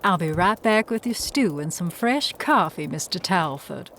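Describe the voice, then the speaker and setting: An actress playing a genteel, chatty frontier wife in a western audio drama, with mannered, drawn-out politeness. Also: female voice, fairly low pitch, lively intonation, background noise. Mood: sweetly polite.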